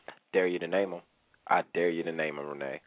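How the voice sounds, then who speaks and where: A man's voice: low voice, fairly even intonation, quick pace, no room sound. A man co-hosting a radio show with teasing, goofy banter. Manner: teasing, goofy, playful interjections. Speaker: radio co-host. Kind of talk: joking banter. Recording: dry tinny phone-like sound.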